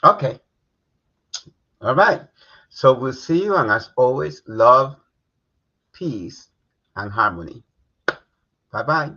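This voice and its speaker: Husky chatty male co-host. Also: medium pitch, expressive, slow pace, long pauses, pushed and bright. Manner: chatty, easygoing, laughs often. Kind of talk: casual live-stream chat. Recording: dull lo-fi stream audio.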